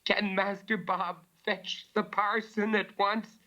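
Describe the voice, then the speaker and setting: A man's voice: high voice, little pitch movement, slow pace, bright, forceful tone, pitched above the performer's own voice, heavily acted. A man voicing a frightened but plucky young servant girl in a ghost story, urgent and breathless. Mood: shaken, relieved. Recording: dull lo-fi recording.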